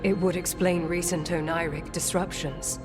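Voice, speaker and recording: A woman plays a composed senior mage in a fantasy video game. Her voice is on the low side, measured and formal, with music clearly behind it. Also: fairly even intonation, lightly acted. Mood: composed, grave.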